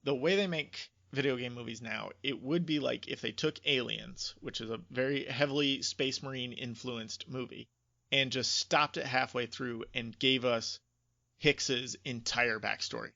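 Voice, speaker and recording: A man co-hosts a casual podcast in a bright voice with a gravelly edge. He lays out his opinions emphatically. The audio is dry and close but somewhat dull and lo-fi. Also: mid-range voice, a little slow. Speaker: podcast co-host.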